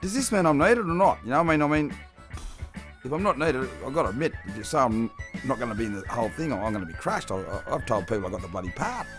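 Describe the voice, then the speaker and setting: A man's voice: medium pitch, very animated, fast talker, natural voice. A man with an Australian accent playing an anxious, confused bit-part actor who hesitates and questions in a comedy radio play. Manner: hesitant, questioning, repeats himself. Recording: music playing behind the voice.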